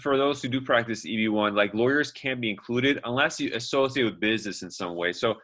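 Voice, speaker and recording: A man's voice: medium pitch, a little fast, a bit bright. A man hosting a casual interview, easygoing and chatty as he asks questions. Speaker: host, interviewer.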